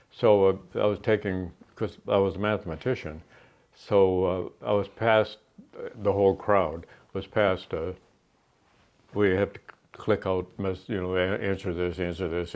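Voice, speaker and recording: Older New England man, deep, rambling. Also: fairly even intonation. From New Hampshire. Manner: rambling, halting with many fillers. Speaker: Army veteran guest. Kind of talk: interview. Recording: dull lo-fi sound.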